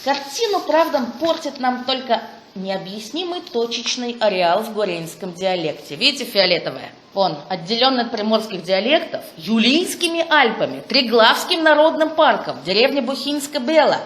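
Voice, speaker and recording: A fairly high-voiced woman presents research at a conference, talking with eager enthusiasm and little exclamations of delight. Her voice rings around an echoey room. Faint background noise sits under it. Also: lively intonation, normal speed, fairly bright tone. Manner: enthusiastic, exclaims over findings. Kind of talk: academic talk with Q&A.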